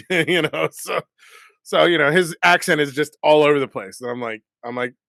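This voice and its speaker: A man, an actor guest in a casual chat, talking in an animated way with lots of fillers and frequent laughter. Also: a little high, normal speed, creaky, dry recording, clean studio sound. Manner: animated, laughing often, many filler words.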